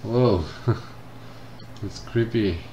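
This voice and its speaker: A man commenting casually on a game as he plays, reading the game text aloud and thinking out loud. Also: fairly low pitch, extremely slow, muffled recording, slight background noise. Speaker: gameplay streamer. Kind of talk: casual let's-play commentary. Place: indoors at a computer. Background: game music.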